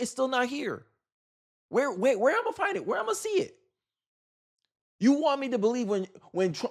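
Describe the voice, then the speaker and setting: A man giving casual, opinionated political commentary in an incredulous, rhetorical way, repeating phrases for emphasis. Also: high-pitched.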